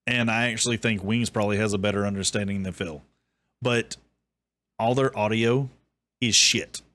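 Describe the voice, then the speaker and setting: Man, bassy voice, sarcastic, ranting, mid-pitched. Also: a bit bright. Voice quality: deep, bassy voice. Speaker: commentary host. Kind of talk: reaction commentary.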